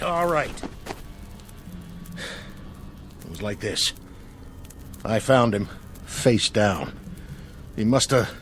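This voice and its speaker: An actor playing a weary, evasive astronaut in a vintage TV drama, sullen and defensive, stammering when pressed. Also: male voice, medium pitch, a bit bright, a little hiss or hum, full character voice. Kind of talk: mid-century television drama acting. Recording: music under dialogue.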